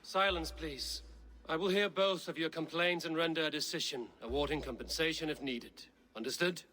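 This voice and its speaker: Brief: a composed Viking chief, slightly high, forceful voice, measured delivery. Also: male voice. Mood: firm, measured. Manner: measured, commanding. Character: calm, authoritative young Viking leader judging disputes. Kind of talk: dramatic video game acting.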